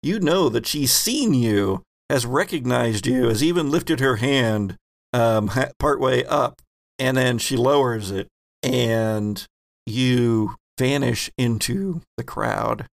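Male game master, creaky mid-pitched voice, narrates and explains rules conversationally, very dry recording. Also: slow pace, dry recording. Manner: conversational, explanatory, jokey asides.

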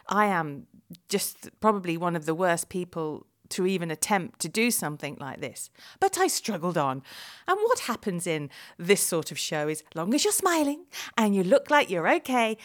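A middle-aged woman from England telling a chatty, self-deprecating diary-style account of her experiences. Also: mid-range voice. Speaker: vlog presenter. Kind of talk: first-person diary narration.

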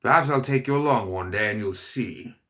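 A man voicing a gruff, boisterous older aristocrat hunter who barks and growls his lines. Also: medium pitch, normal speed, bright, forceful tone, pitched above the performer's own voice, close to an everyday voice. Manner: barking, growling.

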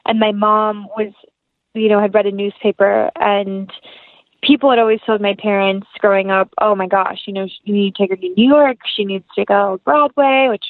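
A woman's voice: medium pitch, fairly even intonation, normal speed, no room sound, narrow, tinny audio. A young American woman, an actress chatting as an interview guest in an earnest, effusive, rambling way. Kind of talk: casual interview chat, anecdotal.

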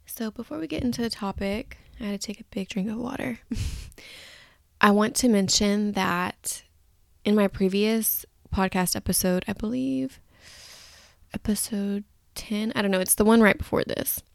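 A woman hosts a casual solo podcast in a gravelly, slightly groggy voice. She talks candidly and loosely, rambling as if chatting with friends. The sound is dry, with very little room around her. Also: medium pitch, moderate pace, dry recording. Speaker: podcast host.

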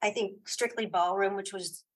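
A middle-aged woman chatting casually as an interview guest, giving opinions in halting, repeated phrases. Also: medium pitch, fairly even intonation. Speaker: guest, playwright and audio drama creator.

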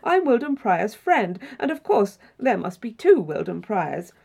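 Woman playing a brisk, animated young gentleman. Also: mid-range voice, creaky, breathy edge, heavily acted. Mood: surprised. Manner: exclamatory, well-mannered. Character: young male guest, polite, brisk and puzzled.

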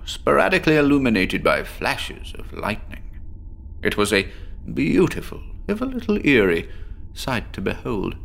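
A man plays an obsessive, nervously cheerful male patient in a horror audio drama. His medium-pitched voice swings widely as he rambles theatrically, with a gravelly creak at the edges. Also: a bit bright, heavily acted. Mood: relishing, eerie. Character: chatty, nervously jovial male psychiatric patient obsessed with apples.

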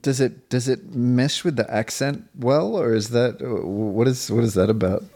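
A man's voice: mid-range voice, a little slow, dark tone. A man hosting a podcast in a casual, conversational style. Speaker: podcast host.

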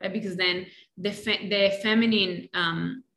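Woman, Colombian Spanish accent, native Spanish speaker, bright voice, reflective casual podcast guest, frequent "right?". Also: mid-range voice, steady tone, measured pace, vocal fry. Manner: warm reflective talk with many "right?" and "you know" tags. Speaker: guest, life coach.

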